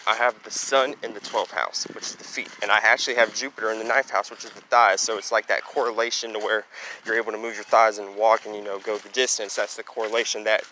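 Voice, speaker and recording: A young man with a loud, booming voice talking casually while walking outdoors, rambling along with lots of "you know" and "basically". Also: low-quality recording. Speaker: astrology vlogger. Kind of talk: casual walking vlog monologue. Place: outdoors, walking near trees and traffic. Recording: outdoor street noise, wind and passing traffic.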